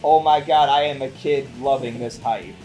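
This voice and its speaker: A man's voice: medium pitch, steady tone, normal speed, warm tone, soft background music, low-quality recording. A man co-hosting a casual, conversational film review show. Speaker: co-host of a movie review show.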